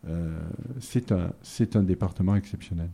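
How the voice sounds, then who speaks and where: A man's voice: sits low, moderate pace, warm tone, slightly rough. A middle-aged man from southern France, talking through his life in an interview with many fillers and restarts. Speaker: interview guest. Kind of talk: relaxed conversational interview.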